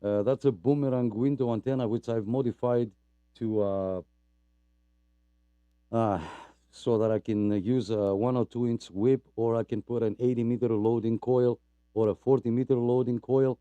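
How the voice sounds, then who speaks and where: A man's voice: mid-range voice, steady tone, moderate pace, mellow, smooth, no echo, highs cut off. Chatty man hosting a radio net. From Greece. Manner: rambling, apologetic, chatty with many fillers. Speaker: net host. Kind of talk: casual radio net chat.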